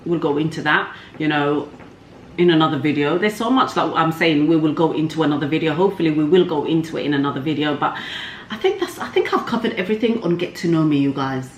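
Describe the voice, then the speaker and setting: A woman's voice: sits low, fast talker. A woman chatting casually to viewers in a candid, cheeky, slangy way, like a vlog host. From Somalia. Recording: echoey room, faint background noise.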